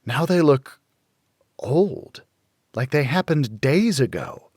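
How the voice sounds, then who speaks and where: A man voicing a wary, defiant, streetwise teenage girl in audiobook dialogue, with hesitant, halting questions. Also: medium pitch, big pitch swings, a little mellow, breathy edge, no echo, clean studio sound, pitched above the performer's own voice, full character voice. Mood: startled, disbelieving.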